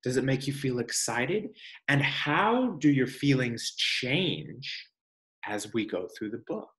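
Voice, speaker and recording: Expressive, slow-paced man reading aloud. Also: mid-range voice. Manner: expressive, deliberate, pausing for emphasis. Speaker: teacher reading a picture book aloud to children. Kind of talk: read-aloud storytelling with guiding questions. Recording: echoey room.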